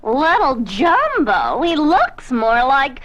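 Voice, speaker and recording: A woman's voice: high-pitched, huge pitch swings, slow pace, fairly bright tone, full character voice, low-quality recording. A woman playing a snooty female circus elephant in a children's storybook, mocking and drawling. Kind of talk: children's read-along story acting.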